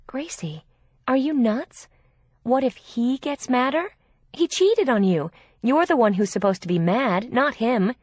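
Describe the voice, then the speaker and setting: An exasperated older sister, played by a woman narrator, argues in a raised, forceful voice. She is blunt and protective, and the storybook recording is close and dry. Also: fairly high pitch, sing-song, unhurried, no room sound, pitched above the performer's own voice. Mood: incredulous.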